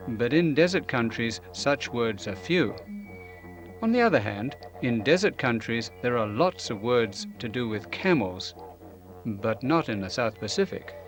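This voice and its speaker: Older man presenting a lesson. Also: medium pitch. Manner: clear, measured explaining. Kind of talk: scripted educational narration. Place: studio, dark curtain behind.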